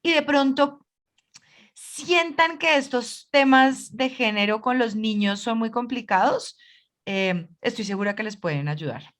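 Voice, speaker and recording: A Colombian woman co-hosts a relaxed podcast chat. Her voice sits a little high for a woman. She talks in a lively, chatty way and often jumps in with quick remarks. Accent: Colombian Spanish. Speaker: podcast co-host. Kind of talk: casual chat.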